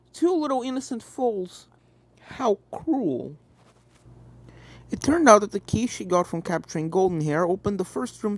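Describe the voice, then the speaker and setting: A woman's voice: medium pitch, a little mellow, dry recording. A woman narrating a scripted story expressively. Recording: music behind the voice.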